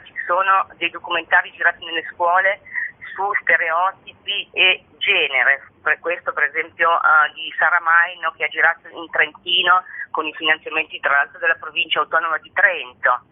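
A woman speaking as an artist presenting her work in an explanatory interview style, often checking in with short tag questions. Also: fairly low pitch. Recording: narrow tinny telephone-like line.

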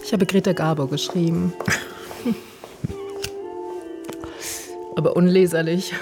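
An actress playing a proud, commanding film star in a German radio drama, cool and provocative, often dropping to a near whisper. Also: female voice, on the low side, fairly even intonation, quick pace, over music, close to an everyday voice. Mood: wry, proud.

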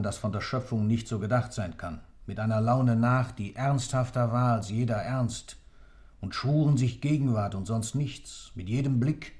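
Low, solemn, accusing actor as a moralist. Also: male voice, a little mellow, lightly acted. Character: grave, moralising man condemning a pair of lovers. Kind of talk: literary radio play monologue.

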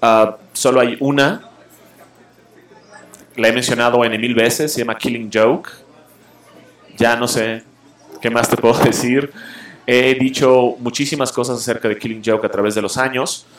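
A man's voice: medium pitch, steady tone, unhurried, long pauses, reverberant. A man hosting a show, giving a blunt, opinionated film review full of fillers and restarts. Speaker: show host reviewing films and comics. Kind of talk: casual opinionated radio-style review.

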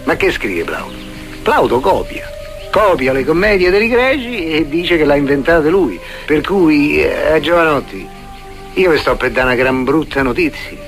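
Mocking actor as a Roman-accented haranguer, music behind. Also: male voice, fairly high pitch, very animated, a little slow, a bit bright, exaggerated voice. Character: sardonic man scolding Roman soldiers in ancient times. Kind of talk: comic radio play dialogue.

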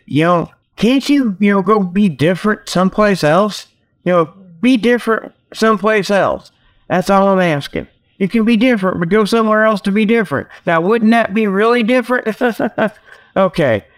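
A man hosting a comedy show, giving a rambling, folksy monologue full of mock sales pitches. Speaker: host of a comedy talk show.

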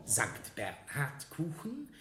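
A man playing a monk in a radio drama, announcing a feast and savouring each item deliberately. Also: medium pitch, wildly swooping pitch. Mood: relishing. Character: monk slowly announcing a lavish feast with relish. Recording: echoing room with crowd behind.

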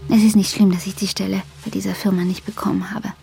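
An actress playing a detached, stubborn woman in a radio drama, speaking deliberately and matter-of-factly. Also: medium pitch, little pitch movement, gravelly, music in the background, close to an everyday voice. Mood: brooding, hushed. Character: detached, stubborn woman estranged after waking from a coma. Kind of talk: radio drama acting, introspective monologue and dialogue.

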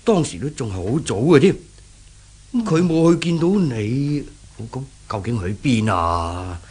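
A man's voice: mid-range voice, sing-song, measured pace, a little mellow, husky. A man acting in a 1970s Cantonese radio drama as an anxious middle-aged shopkeeper father with a Hong Kong accent, stammering and repeating his words. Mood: worried. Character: anxious older shopkeeper father, flustered and kindly.

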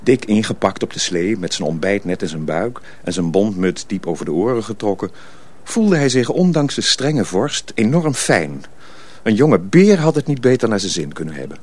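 A man narrating a radio play as a measured, expressive storyteller reading from a script. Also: fairly low pitch.

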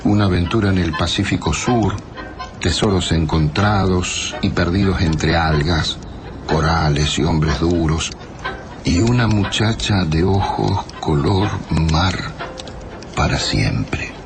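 An actor playing a solitary, pensive scriptwriter in a radio drama, with an Argentine accent and poetic, fragmented phrasing. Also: male voice, sits low, slow pace. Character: solitary, pensive male comic-book scriptwriter working at night. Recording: music under the voice.

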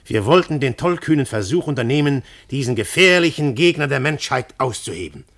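A husky, hunted detective narrator. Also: male voice, mid-range voice, animated, a little fast, a bit bright. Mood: grave. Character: hunted male detective telling his story. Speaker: first-person narrator and detective Syme. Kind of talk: radio play narration and acting.

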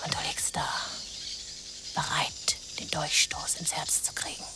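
An actress narrating a lurid jungle river expedition in a radio play, theatrical and often dropping into a hushed whisper. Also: female voice, measured pace, natural voice. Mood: hushed, ominous.

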